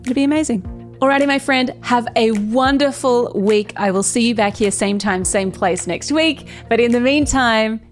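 A woman's voice: a little high, normal speed, faint music behind. A woman hosting a podcast, upbeat and encouraging as she thanks her guest and speaks to her listeners. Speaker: podcast host. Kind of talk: podcast interview and scripted outro.

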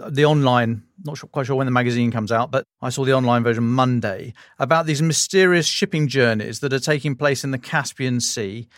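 A British man hosting a podcast interview, asking questions in an informal, conversational way with halting phrasing. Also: mid-range voice, no room sound, studio quality.

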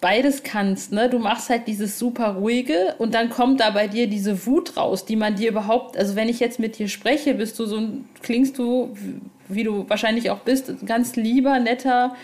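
A woman's voice: a little high, fairly even intonation, normal speed, darkish tone. A woman hosting a casual podcast interview, chatting in an informal, enthusiastic way.

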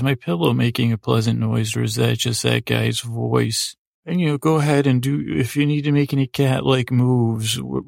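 A man with a heavily creaky, gravelly voice hosts a bedtime podcast in a lulling ramble. His delivery stays flat and even, wandering off into digressions and halting restarts. The sound is close and dry, with faint music behind him. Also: medium pitch, no room sound. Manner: soothing, lulling. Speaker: host of a bedtime story sleep podcast. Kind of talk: rambling, meandering bedtime monologue.

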